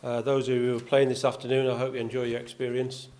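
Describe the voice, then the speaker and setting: A man's voice: medium pitch, completely flat delivery, quick pace, a little mellow. An older man from Yorkshire with a faint accent, speaking to an audience as a golf club's vice captain, with dry self-deprecating humour and frequent "uh" fillers. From Yorkshire, England. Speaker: golf club vice captain giving a talk. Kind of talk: speech to an audience. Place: clubhouse function room.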